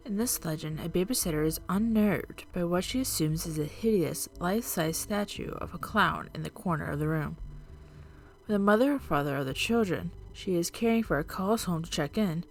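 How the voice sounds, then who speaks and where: Woman, lowish voice, scripted dark-story narration, measured and dramatic, faint music behind. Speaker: narrator and host of a video channel. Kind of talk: scripted true-crime and urban legend narration.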